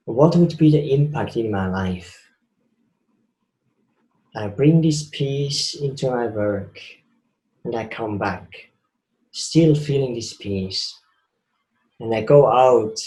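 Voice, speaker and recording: Slow, earnest man with a Swiss accent. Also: mid-range voice, mellow, reverberant, low-quality recording. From Switzerland. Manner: slow, earnest, repeats phrases for emphasis. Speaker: spiritual speaker. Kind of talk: reflective spiritual talk.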